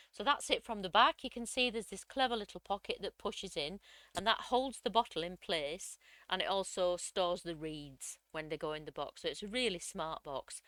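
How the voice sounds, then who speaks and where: A woman, slightly croaky from a cold, chattily walking through a crafting software tutorial step by step. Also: low voice, no room sound. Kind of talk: screen-recorded software tutorial.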